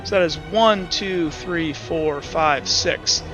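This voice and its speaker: A man acting as game master, narrating and explaining rules in a halting, self-correcting way. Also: on the high side, a bit bright, muffled recording, soft background music. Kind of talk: improvised tabletop game narration and rules talk.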